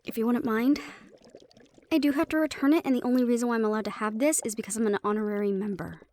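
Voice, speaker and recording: A woman's voice: fairly high pitch, steady tone, fast, mellow, a little hiss or hum, natural voice. A woman playing a helpful, knowledgeable magic-shop keeper in a fantasy audio roleplay, explaining things with light teasing.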